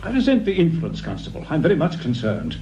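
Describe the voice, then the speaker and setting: An actor playing an imperious, curt research director in a British radio crime drama, with an English accent and a pompous, condescending manner. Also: male voice, on the high side, wildly swooping pitch, quick pace, warm tone. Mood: haughty, irritated. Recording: roomy, muffled, slightly noisy radio recording.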